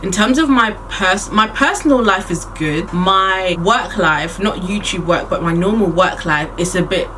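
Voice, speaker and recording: A woman's voice: medium pitch, a bit bright, far from the mic, slight background noise, low-quality recording. A woman in her late twenties chatting casually and playfully to her viewers in a Q&A vlog. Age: 20s. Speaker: beauty and lifestyle vlogger.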